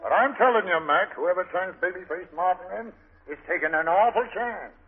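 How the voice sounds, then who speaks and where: An actor playing a blunt, wary New police officer with a husky voice in a 1940s radio drama. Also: male voice, high-pitched, animated, normal speed, crowd noise. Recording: echoing, muffled old radio broadcast.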